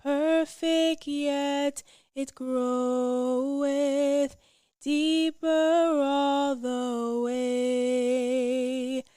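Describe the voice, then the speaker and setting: A woman's voice: high voice, level tone, drawn-out words, mellow, no room sound, studio quality, soft background music. A woman singing a hymn. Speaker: hymn singer.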